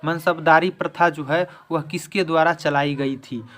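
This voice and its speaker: Higher-pitched man teaching, noisy recording. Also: steady tone, moderate pace, a bit bright. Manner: repetitive, restating each question and answer. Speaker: exam-prep teacher. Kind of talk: quiz-style history lesson.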